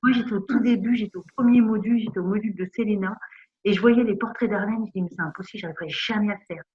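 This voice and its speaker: A mid-pitched woman from northern France talks about her art course with eager enthusiasm, often repeating herself. The sound is dull and lo-fi, as on a video call. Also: rapid-fire. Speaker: student in an online art course. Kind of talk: casual group video call.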